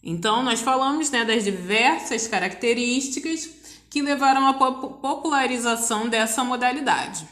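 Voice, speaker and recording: A woman's voice: a little high, moderate pace, a bit bright. A woman with a Brazilian accent giving a school lesson as a teacher, explaining didactically and repeating key points for emphasis. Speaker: physical education teacher. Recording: echoey, roomy sound.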